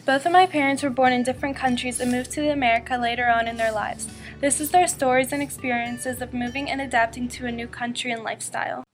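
A young woman reading a scripted narration in a plain, even manner. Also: high-pitched, quick pace, faint music behind. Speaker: student narrator.

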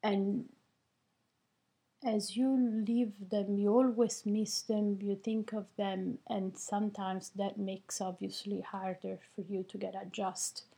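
A woman's voice: mid-range voice, flat delivery, slow pace, vocal fry, dark tone, breathy edge. A woman, thoughtfully describing her own experience and searching for her words. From Italy. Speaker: interviewee, immigrant parent. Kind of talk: reflective personal account.

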